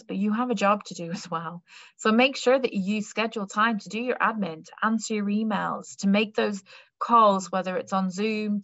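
A woman's voice: medium pitch, sharp tone, no echo. A young woman with an Irish accent, giving an online careers talk in a conversational way with many fillers and restarts. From Ireland. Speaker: careers adviser. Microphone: webcam or laptop mic on video call. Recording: slightly dull lo-fi audio.

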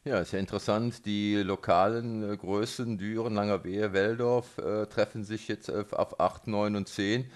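A man hosting a local sports radio interview, phrasing his questions in a halting, hesitant way. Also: on the low side, steady tone. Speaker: radio host interviewing a football coach.

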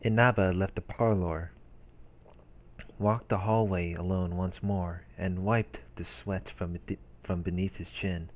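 A man reading visual novel narration aloud as a let's play host, in a measured manner with brief casual commentary. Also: deep voice, steady tone, dull audio. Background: game music.

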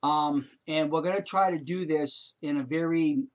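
A man's voice: on the high side, normal speed. An older man talking earnestly and directly to listeners in a casual home video chat, recorded on a webcam. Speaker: co-host. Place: home room, indoors. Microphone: webcam video call framing.

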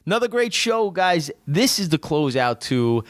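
A man with a New Jersey accent co-hosting a casual podcast, talking in a lively, jokey, emphatic way. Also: on the high side, moderate pace. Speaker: podcast co-host.

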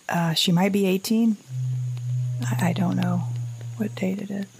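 An anxious, slow-spoken, wry survivor mother. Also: fairly low pitch, low-quality recording. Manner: halting, rambling, self-interrupting, wry. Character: anxious, wry middle-aged mother surviving alone after apocalypse. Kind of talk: audio drama monologue.

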